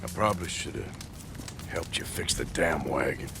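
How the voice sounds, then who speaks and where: A man acting a gruff, weary American outlaw debt collector in a game drama, terse and drawling. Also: extremely low pitch, moderate pace, background noise. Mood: grudging. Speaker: game character actor. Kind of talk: dramatic game cutscene dialogue.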